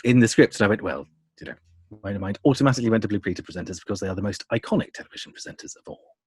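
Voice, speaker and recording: A man's voice: fairly low pitch, lively intonation, rapid-fire, a little mellow, husky, no room sound. A man talking enthusiastically in an interview as an audio producer, wordy and often rephrasing as he goes. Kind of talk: conversational interview about creative work.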